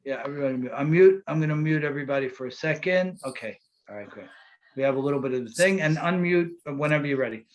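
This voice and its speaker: A man's voice: fairly high pitch, fast talker, poor audio. A man, a rabbi, leading an interactive class over a video call, repeating phrases for emphasis.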